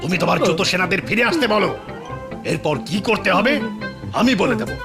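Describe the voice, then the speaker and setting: A gloating evil cartoon sorcerer with a high, gravelly voice. He stretches words out grandly and with menace, swooping widely, over music. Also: lively intonation. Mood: scheming, commanding. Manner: grandiose, menacing, drawn-out delivery. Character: gloating theatrical male evil sorcerer villain. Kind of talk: cartoon voice acting.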